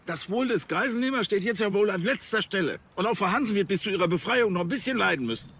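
An actor playing an officious, impatient police chief in a television crime comedy. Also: male voice, sits high, animated, fast talker, a bit bright, a little hiss or hum. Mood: exasperated. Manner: officious, exasperated. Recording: music behind the voice.

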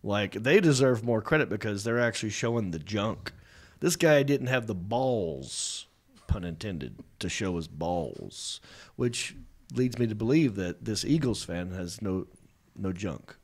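A man's voice: on the low side, measured pace. A man in his forties with a drawl, talking into a studio microphone on a podcast. His voice rises and falls a lot as he tells a story. He teases his co-host in a loose, comic way. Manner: animated storytelling, teasing. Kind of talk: casual comedic chat. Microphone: studio mic on boom.

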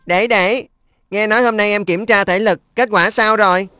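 An earnest big-brother boy, voiced by a woman in a children's cartoon, caring and coaxing. The voice is bright and sharp, recorded close and dry. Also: medium pitch, fairly even intonation, moderate pace, clean tone, no echo, faint music behind, close to an everyday voice. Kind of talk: cartoon dub.

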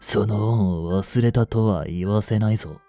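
A man's voice: lowish voice, unhurried, warm tone, no room sound, faint music behind, lightly acted. An actor playing a blunt, bossy vampire older brother in a Japanese romance voice drama, scolding as he holds back his hunger. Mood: irritated, scolding. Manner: blunt, rough-spoken, bossy.

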